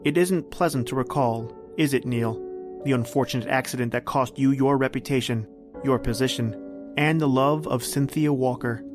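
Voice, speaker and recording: A man narrates like an old-time radio host, in a mid-pitched voice with theatrical storytelling delivery. Music plays clearly behind him. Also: normal speed.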